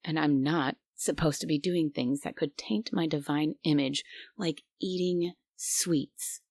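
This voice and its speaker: A woman's voice: low voice, fairly even intonation, a little slow, a little mellow, no echo, studio quality. A woman playing a strict, stubborn guardian angel who is bossy, prim and grudgingly caring, in an immersive roleplay audio drama. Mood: flustered, huffy. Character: strict, stubborn but secretly kind female guardian angel.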